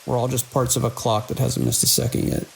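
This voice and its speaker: An actor playing a weary, watchful security chief in an audio drama, speaking in a dry, measured way. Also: male voice, mid-range voice, very animated, creaky. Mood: reflective. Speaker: security lead. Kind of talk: audio drama, logs and radio dialogue. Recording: hiss and rain-like noise.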